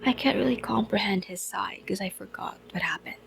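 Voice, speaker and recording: A woman game streamer giving casual reactions in soft, breathy asides, sometimes drawing out her words. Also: medium pitch, brisk, crowd noise. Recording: game music behind voice. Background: game music.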